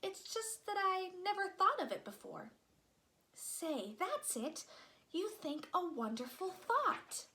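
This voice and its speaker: A woman's voice: very high-pitched, big pitch swings, takes it slowly, bright, forceful tone, pitched above the performer's own voice, full character voice. A woman voicing a cheerful, cocky flying boy from a storybook, playful and musing in manner. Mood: musing, pleased.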